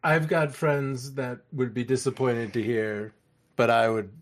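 A man's voice: mid-range voice, fairly even intonation, measured pace. A man co-hosting a casual chat, agreeable and a little tentative, often chiming in briefly.

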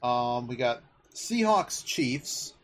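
A man's voice: mid-range voice, animated, very slow. A man hosting a casual sports betting chat, talking in a rambling, analytical way as he thinks aloud. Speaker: podcast host.

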